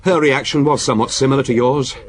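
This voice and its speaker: An actor playing a deferential, dryly amused police subordinate in a radio drama, reporting in a deliberate storytelling way with small hesitations. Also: male voice, mid-range voice, fairly even intonation, lightly acted.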